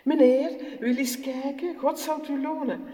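A woman voicing a gentle young mother who softly pleads with strangers to look at her baby, recorded in an echoey hall from a distance. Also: high-pitched, steady tone, measured pace, pitched above the performer's own voice. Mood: pleading. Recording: echoey hall, voice far from mic.

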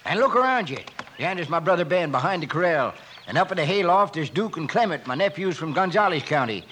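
An actor playing a stubborn, suspicious older rancher in a feud, with a rustic drawl, in a western radio drama. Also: male voice, on the high side, animated, brisk, bright, forceful tone, slightly rough, full character voice. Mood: defiant. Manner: folksy, rustic cowboy drawl. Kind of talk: 1950s western radio acting. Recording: faintly noisy old radio recording.

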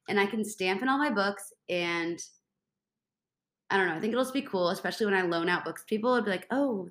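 A woman's voice: medium pitch, fairly bright tone. Bubbly congested young woman, echoey room. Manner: bubbly, rambling, says like a lot. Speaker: podcast host. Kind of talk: casual solo chat.

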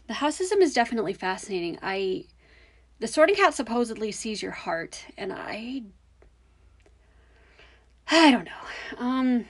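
A woman's voice: on the high side, big pitch swings, unhurried, long pauses. A woman with a young-sounding voice hosting a podcast, musing hesitantly with many fillers. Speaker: podcast host. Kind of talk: casual solo podcast commentary.

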